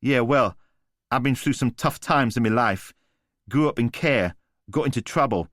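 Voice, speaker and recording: A male narrator voicing a swaggering young criminal who talks in street slang, pitched up from his own voice. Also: moderate pace, a bit bright, no room sound, clean studio sound, natural voice. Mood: cocky.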